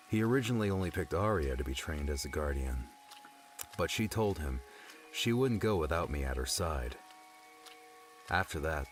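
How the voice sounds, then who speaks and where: Man voicing very deep, grieving young adventurer. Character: grieving young male adventurer, haunted and tender. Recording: music under the voice.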